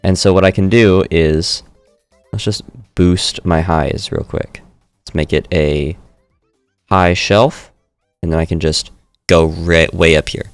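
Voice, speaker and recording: A man with a very low voice talks through his audio setup in a relaxed tutorial, pausing to correct himself as he works. He speaks into a studio microphone at a home streaming desk. Faint music plays behind him. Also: smooth, no echo. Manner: relaxed, self-correcting explainer. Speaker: streamer demonstrating audio software. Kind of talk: casual screen-recorded tutorial. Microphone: studio dynamic mic through audio interface.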